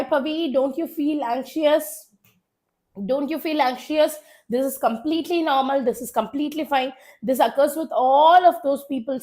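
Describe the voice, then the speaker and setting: High-pitched South Indian woman teacher, hoarse. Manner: urging, repeats phrases for emphasis. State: hoarse from a cold. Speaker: chemistry teacher. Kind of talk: live online exam revision class.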